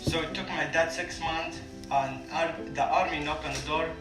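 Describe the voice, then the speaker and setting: A young man from Syria with a Kurdish-accented English, telling his family's story to an audience at a community lunch in plain, halting sentences. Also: fairly high pitch, steady tone, distant mic. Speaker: refugee guest speaker. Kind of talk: personal story told to an audience. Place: large room at a community lunch. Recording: echoey room, voice from afar, loud music.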